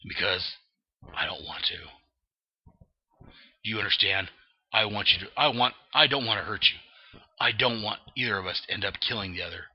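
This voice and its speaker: A man reading aloud the lines of a weary grim male vigilante, speaking low, quiet and earnest. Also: moderate pace, long pauses, slightly rough. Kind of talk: reading comic dialogue aloud. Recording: dull lo-fi dry recording.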